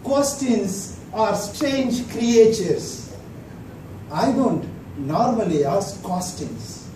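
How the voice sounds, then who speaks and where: A man tells a humorous prepared speech at an unhurried pace, pausing for laughs. His voice carries from far off in a roomy hall, with clear background noise underneath. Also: high-pitched, lively intonation, mellow. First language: Tamil. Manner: dry storytelling, pauses for laughs. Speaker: club speaker. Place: meeting hall.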